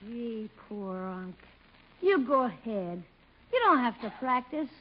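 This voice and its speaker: Very high-voiced, pert young boy. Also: very animated, slow pace, full character voice. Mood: sympathetic. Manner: pert, nagging. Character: bossy, sharp-tongued young boy, nephew of the household. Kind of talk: 1950s radio comedy acting. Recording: muffled, noisy old radio recording.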